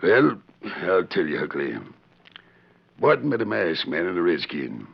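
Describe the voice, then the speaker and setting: Crooked frontier sheriff, deep creaky voice, folksy drawl, gruff and uneasy, radio western. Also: male voice, sing-song, unhurried, full character voice. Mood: uneasy, scheming. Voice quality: gravelly. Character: crooked, worried small-town western sheriff, gruff and bossy. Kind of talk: 1940s radio western acting. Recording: muffled vintage 1940s radio recording.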